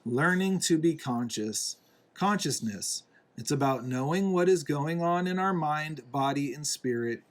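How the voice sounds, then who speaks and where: Slow-paced man reading a children's book. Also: a little high, expressive. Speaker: reader, parent reading to a young child. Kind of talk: read-aloud children's picture book narration.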